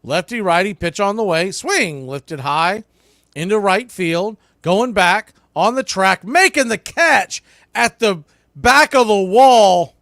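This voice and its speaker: A man calling baseball play-by-play live, in clipped pitch-by-pitch phrases. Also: lively intonation, slow pace, vocal fry, a bit bright, no echo, studio quality. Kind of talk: live sports commentary.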